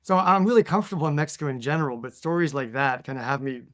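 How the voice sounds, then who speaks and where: Quick, chatty man telling an anecdote. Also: a little high, lively intonation, a bit bright, no echo, studio quality. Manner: chatty storyteller, quick questions. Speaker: podcast co-host. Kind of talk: casual interview, telling anecdotes.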